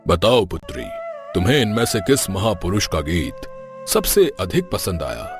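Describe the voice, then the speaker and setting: A man's voice: low voice, huge pitch swings, moderate pace. A man playing a proud, formal and imperious king and father in a Hindi cartoon moral story. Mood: formal, indulgent. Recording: music behind the voice.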